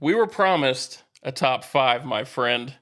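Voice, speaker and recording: A man's voice: mid-range voice, lively intonation, slow, a bit bright. A man co-hosting a podcast with casual banter, teasing and mock-exasperated. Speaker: podcast co-host.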